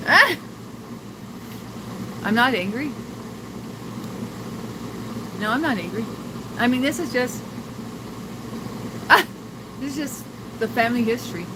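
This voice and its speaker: A woman's voice: fairly high pitch, expressive, slow, long pauses, noisy background. An older woman telling family stories to her livestream viewers, rambling and laughing often with many fillers. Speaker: livestream host. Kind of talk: casual livestream chat with viewers.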